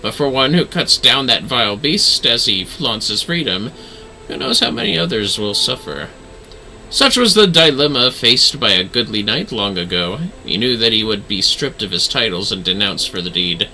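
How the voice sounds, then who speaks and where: A man voicing a grave dark knight mentor from a video game, speaking in a solemn, dramatic and deliberate manner. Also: medium pitch, very animated, soft background music, full character voice, poor audio. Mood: grave, challenging. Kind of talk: reading video game dialogue aloud.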